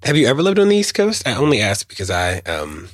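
An American man with a husky voice, hosting a podcast. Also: mid-range voice, huge pitch swings, quick pace. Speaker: podcast host and interviewer. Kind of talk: podcast hosting, sponsor reads and interview questions.

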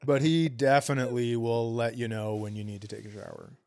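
A man's voice: mid-range voice, steady tone, brisk, a little mellow. Blunt man telling casual comic stories. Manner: foul-mouthed, blunt, acts out quoted exchanges. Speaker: comedy podcast co-host. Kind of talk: casual comedic storytelling.

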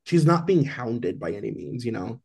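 A man's voice: mid-range voice, big pitch swings, moderate pace, gravelly, a little mellow, husky. A man co-hosting a podcast, chatting loosely about celebrity gossip and leaning on "like" a lot. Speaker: podcast co-host. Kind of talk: casual celebrity gossip chat.

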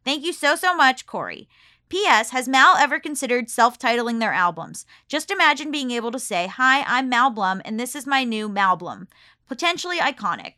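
A woman's voice: a little high, lively intonation, normal speed, bright, forceful tone, no echo, clean studio sound. An American woman hosting a podcast, chatting informally and effusively and reading listener mail aloud. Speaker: podcast host.

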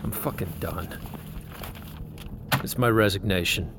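A man's voice: deep voice, measured pace, background noise. An actor playing an angry, principled male head engineer who is fed up, defiant and profane, in a naturalistic audio drama. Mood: bitter. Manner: profane, confrontational.

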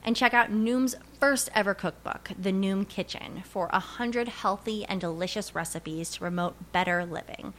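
A woman's voice: medium pitch, moderate pace, few pauses, fairly bright tone, slight background noise. A woman giving a scripted advertisement read.

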